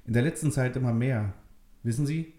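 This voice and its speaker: A man's voice: mid-range voice, brisk, voice pushed up, lightly acted. A man reading a story, voicing a courteous, kind young man who is a little sheepish, in a roomy-sounding recording. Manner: courteous, gently evasive. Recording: roomy echo.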